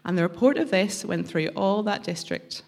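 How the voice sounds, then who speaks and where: Woman reading scripture with a gravelly edge. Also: medium pitch, lively intonation, moderate pace, a little mellow. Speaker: scripture reader.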